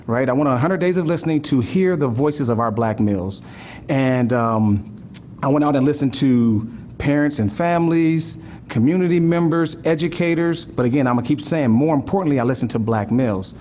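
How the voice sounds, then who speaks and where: A man's voice: on the high side, fairly quick, a little mellow, a little hiss or hum. Earnest man giving a talk. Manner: earnest, repetitive rhetorical questions with "right?". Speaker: school district director giving a talk. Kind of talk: live presentation to an audience.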